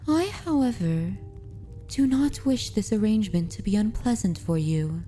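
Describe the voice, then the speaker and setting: A woman playing a dominant, possessive yet tender vampire mistress in an ASMR roleplay, speaking softly and intimately in a formal, courtly manner. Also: medium pitch, animated, faint music behind, close to an everyday voice. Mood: coaxing, possessive. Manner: slow, deliberate, formal and courtly. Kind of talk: ASMR roleplay, soft intimate delivery with whispers.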